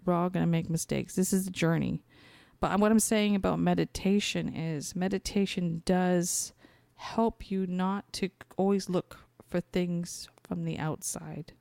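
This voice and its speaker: A woman's voice: fairly low pitch, vocal fry, warm tone, no room sound. A woman podcast host talking in a casual, rambling spiritual monologue, conversational and thinking aloud as she searches for her words.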